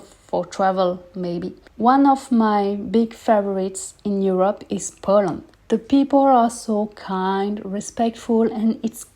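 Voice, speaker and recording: A woman's voice: mid-range voice, dark tone. A French woman in her thirties hosting a personal podcast, speaking candid, earnest English as a second language with small grammar slips. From France. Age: 30s. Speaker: podcast host.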